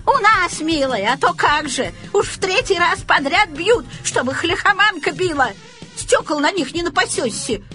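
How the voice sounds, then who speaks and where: Shrill angry old woman, very high, bright, animated scolding, folksy storybook character, music behind. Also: moderate pace, exaggerated voice. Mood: angry complaining. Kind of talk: dramatised storybook reading.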